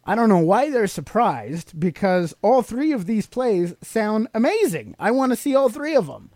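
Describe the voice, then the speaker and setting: A man's voice: high-pitched, big pitch swings, moderate pace, darkish tone, dry recording. A middle-aged man co-hosting a podcast, explaining things casually to a child in a teacherly way with leading questions. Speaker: podcast co-host and father explaining to his child.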